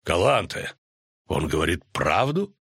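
Man voicing a stern, lower-pitched islander lord. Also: normal speed, slightly rough, no room sound, clean studio sound. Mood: sullen, biting. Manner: calm, sullen, biting. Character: gruff calm islander lord, stern, cutting.

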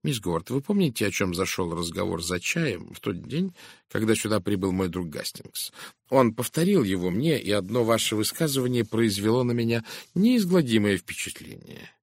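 A man voicing a shrewd, composed Belgian detective in an audiobook, speaking with measured courtesy and deliberate, probing emphasis. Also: fairly low pitch, sing-song, no room sound, studio quality. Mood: insistent, probing. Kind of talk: dramatised audiobook dialogue.

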